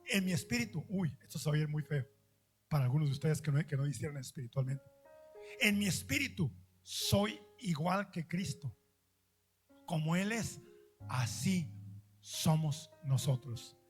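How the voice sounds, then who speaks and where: A man preaching a sermon, repeating phrases and asking his listeners questions, with loud stressed lines and slow drawn-out quiet words. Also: fairly high pitch, animated. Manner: emphatic, repeats phrases, asks the audience questions. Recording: faint music behind the voice.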